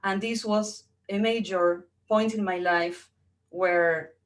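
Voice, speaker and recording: Woman, middle-aged, Argentine accent, Spanish native speaker, guest speaker, motivational personal storytelling, average pitch. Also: steady tone, slow pace. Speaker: guest speaker, entrepreneur and coach.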